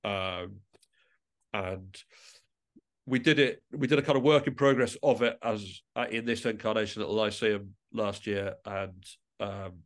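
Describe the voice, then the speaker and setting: A man's voice: lowish voice, measured pace. A man speaking as a musician guest in a casual podcast interview, with an informal, self-deprecating and enthusiastic way of talking.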